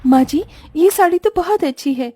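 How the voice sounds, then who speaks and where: A woman voicing a gentle, patient young daughter-in-law with a sweet, respectful, mild way of talking. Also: high voice, lively intonation, normal speed, no echo. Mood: delighted.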